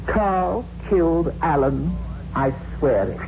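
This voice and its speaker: A woman's voice: low voice, takes it slowly, gravelly, darkish tone, full character voice. Urgent, desperate woman of vintage radio suspense. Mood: insistent, conspiratorial. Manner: urgent, earnest, dramatic emphasis. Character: desperate, pleading scheming wife in a suspense tale. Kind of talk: old-time radio suspense drama acting. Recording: dull old radio recording, faint noise.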